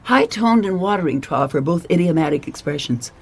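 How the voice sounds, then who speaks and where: A man playing a pedantic, erudite language expert in a radio mystery, precise, with a creaky, husky voice. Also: on the high side, animated, brisk, exaggerated voice. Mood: pedantic, analytical.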